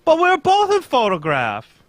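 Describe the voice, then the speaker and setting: A man's voice: very high-pitched, wildly swooping pitch, moderate pace, fairly bright tone, no echo, heavily acted. A man playing an anxious, pompous foreign king in a radio mystery, earnest, in stilted English that drops its articles. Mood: flustered. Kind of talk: old-time radio mystery acting, read live.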